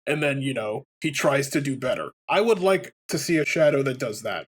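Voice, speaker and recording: A man's voice: mid-range voice, animated, moderate pace, husky. A man chatting casually and giving opinions as a game commentator, rambling and swearing as he goes. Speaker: let's player commentator.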